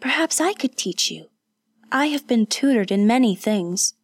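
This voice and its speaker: A woman voicing a sheltered, curious young noblewoman who speaks brightly and eagerly. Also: a little high, lively intonation, moderate pace, dry recording, studio quality, pitched above the performer's own voice.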